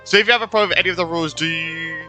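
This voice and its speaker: A young man giving casual, rambling, excitable reactions as he plays a video game. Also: fairly high pitch, racing. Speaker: streamer playing a video game. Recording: game music faintly behind.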